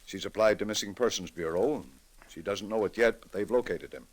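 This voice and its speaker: An actor playing a grave, reflective middle-aged judge in an old-time radio drama, speaking in a measured, deliberate, dramatic way. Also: male voice, on the low side, lightly acted. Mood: earnest. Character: grave, reflective male judge with a troubled conscience.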